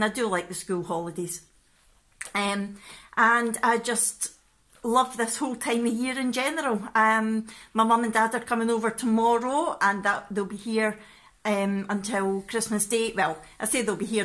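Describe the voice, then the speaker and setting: Scottish woman vlogging, chatty n excitable, bright highish voice. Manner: excitable, expressive. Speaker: family vlogger. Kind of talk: casual chatty vlog. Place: living room at home. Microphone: phone at arm's length.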